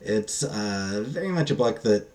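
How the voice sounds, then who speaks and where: Casting: male book reviewer, moderately low pitch, unscripted and casual, halting delivery that rambles, self-deprecating. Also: animated, a little mellow.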